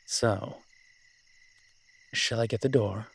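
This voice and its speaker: A man's voice: lowish voice, slow pace, vocal fry, a bit bright, husky, heavily acted. A man playing a roguish incubus demon in a roleplay audio drama, talking in a sly, teasing, conversational way. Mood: playful, confident. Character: smooth-talking roguish male incubus demon, playful and scheming.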